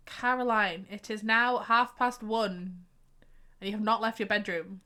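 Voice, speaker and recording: Give me a playful young woman with a light Yorkshire accent, bright and chatty. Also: medium pitch, steady tone, slow. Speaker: game streamer. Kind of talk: casual gameplay commentary.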